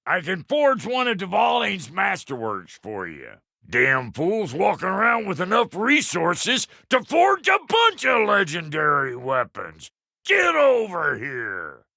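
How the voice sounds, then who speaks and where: A gravelly, cantankerous dwarf blacksmith from a video game, drawling in a Southern American accent with an unhurried pace. The pitch swings wildly, and the delivery is crude, folksy and blunt, with a good heart underneath. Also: male voice, huge pitch swings, bright, forceful tone, no echo, clean studio sound, exaggerated voice. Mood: casual, blunt. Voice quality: gravelly, rough-edged. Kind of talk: video game character acting.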